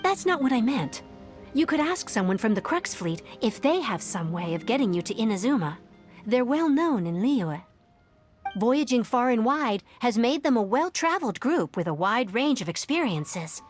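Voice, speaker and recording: A woman playing a gentle young exile in a video game, speaking in an earnest, measured storytelling way. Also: a little high, big pitch swings. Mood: earnest, advising. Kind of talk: video game dialogue acting. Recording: game music behind the voice. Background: background music.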